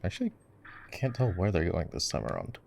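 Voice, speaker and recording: A man in casual gaming chat for an ASMR roleplay, soft-spoken and murmuring as if to himself. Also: sits low, huge pitch swings, a little fast, gravelly. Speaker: voice actor roleplaying a gamer boyfriend. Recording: faint noise with keyboard and mouse clicks. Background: keyboard typing and clicking.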